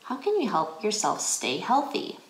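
An American woman reading a picture book aloud to children as an educator, phrasing deliberately in a guided read-aloud style. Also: medium pitch, wildly swooping pitch. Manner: deliberate, clearly phrased, asks questions. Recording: roomy indoor echo.

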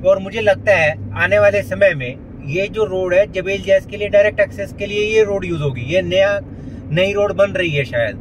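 A man's voice: sits high, normal speed, background noise. A man narrating a vlog as he drives, chatty and pointing things out to viewers, mixing English phrases into his talk. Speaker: vlogger. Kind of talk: casual narration while driving. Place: inside a car.

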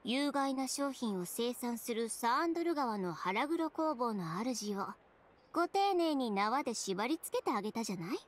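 A woman's voice: fairly high pitch, expressive, moderate pace. A woman playing a self-assured, blunt young fashion designer in an anime video game, confident and dryly teasing. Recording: game music behind the voice.

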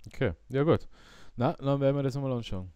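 A man with an Austrian accent chatting casually as a podcast co-host, halting and repeating himself as he searches for words. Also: medium pitch, mellow, clear, resonant tone, no echo. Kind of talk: casual chat.

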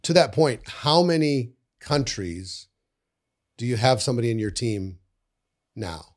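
An older man hosting a podcast interview in a studio, talking casually into a boom mic, often repeating words and trailing off quietly. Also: expressive, measured pace. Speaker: podcast host. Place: studio with bookshelves. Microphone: studio mic on boom arm.

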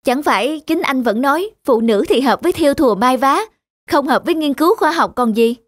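An actress playing a modest, principled young woman scientist in an animated drama, earnest and restrained. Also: high voice, fairly quick, no room sound, studio quality. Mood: reproachful. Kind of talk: dubbed animated drama dialogue.

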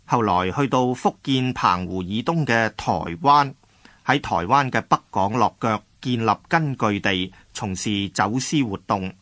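A man's voice: on the low side, unhurried, a bit bright, no echo, studio quality. A man with a Hong Kong Cantonese accent narrating a scripted history radio drama.

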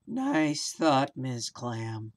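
A woman voicing a gloomy, sulking male fish in a storybook, with a slow, drawn-out, mopey delivery. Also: extremely low pitch, very animated, voice pushed down, heavily acted. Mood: glum, resigned.

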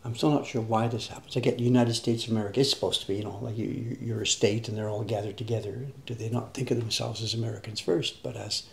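An older man with a gravelly edge to his voice, chatting casually on a live stream. He is emphatic and repeats himself to stress a point. Faint noise and small clicks sit behind him. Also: on the low side, steady tone, fast, few pauses. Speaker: craftsman host of a live stream. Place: indoor workshop.

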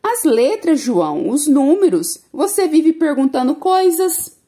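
Gentle storybook mother, calm and explaining, mellow voice, as a woman puts her on. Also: high-pitched, measured pace, voice pushed up. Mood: patient.

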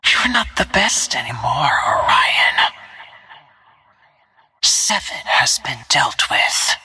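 An actress voicing a cold, menacing female assassin in a video game, with a breathy, husky, hushed delivery and controlled threat. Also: very deep voice, big pitch swings, slow pace, no room sound, in a whisper, exaggerated voice. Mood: cold, contemptuous. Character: cold, menacing female assassin who stalks her targets. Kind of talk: scripted video game character barks.

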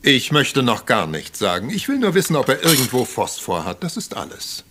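An actor playing a concerned country doctor in a radio crime drama, discreet and a little hesitant. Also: male voice, mid-range voice, huge pitch swings, normal speed, noisy background. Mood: cautious.

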